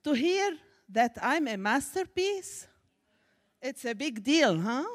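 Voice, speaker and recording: A woman's voice: high-pitched, very animated, slow. A woman from Syria with a Syrian Arabic accent, a native Arabic speaker, giving a testimony to a church congregation in an emphatic preacherly manner. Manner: warm preacherly, rising to emphatic exclamations. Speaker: guest speaker. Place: church sanctuary. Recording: echoey church hall.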